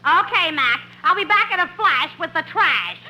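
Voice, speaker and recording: A noisy 1950s radio recording carries a high-pitched waitress. She is brash and sassy, with curt, slangy comebacks in a classic comedy style. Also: lively intonation, moderate pace. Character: brash, wisecracking drugstore waitress.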